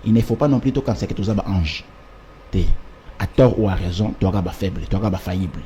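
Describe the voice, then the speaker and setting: A man's voice: sits low, moderate pace, warm tone, a little hiss or hum. A man, giving an emphatic political interview and driving his points home with repetition and rhetorical lists. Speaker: political party representative. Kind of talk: political interview, mixing French and Lingala.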